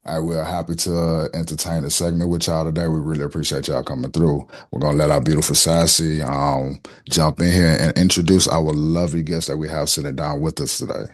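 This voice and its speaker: A man's voice: very deep voice, level tone, fairly quick, hardly any pauses. A man co-hosting a show in a casual, laid-back and affirming way. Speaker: show co-host.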